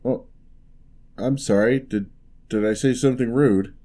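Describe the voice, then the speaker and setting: A man playing a huge, gentle, courteous giant in an ASMR roleplay, speaking softly with wry, teasing, old-fashioned politeness. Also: medium pitch, close to an everyday voice. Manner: unhurried, soft-spoken, old-fashioned courteous phrasing.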